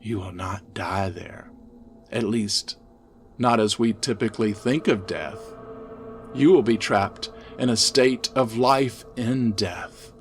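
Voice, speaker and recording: Gothic horror audio tale: insane male inmate, acted by a male narrator, intense, menacing, unhurried, music behind. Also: on the low side. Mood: ominous. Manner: fervent, ominous, swinging from hushed to ranting.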